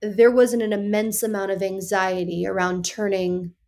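A woman's voice: medium pitch, little pitch movement, normal speed, a little mellow. Frank woman in her thirties. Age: 30s. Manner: direct and frank, reassuring. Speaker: podcast guest. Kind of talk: casual conversation.

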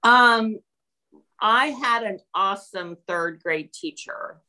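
A woman's voice: mid-range voice, takes it slowly, pushed and bright, rough recording. A woman from Peru speaking as a teacher being interviewed, answering in a conversational, reflective way with analogies and anecdotes.

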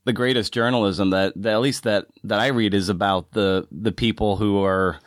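Man, deep low voice, very dry close sound, informal podcast host, halting phrasing with repeats. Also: fairly even intonation, no echo. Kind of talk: casual interview chat.

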